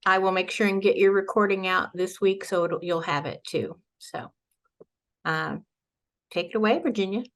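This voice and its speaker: A woman's voice: mid-range voice, moderate pace, a bit bright. Friendly woman making class announcements. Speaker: class coordinator.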